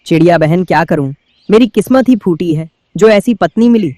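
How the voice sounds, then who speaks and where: A high-pitched male bird husband played by a man from a kids' cartoon, forever henpecked and exasperated. He whines and grumbles his lines, recorded dry and close. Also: expressive, moderate pace, darkish tone, no echo. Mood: miserable, complaining. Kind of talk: children's cartoon dialogue.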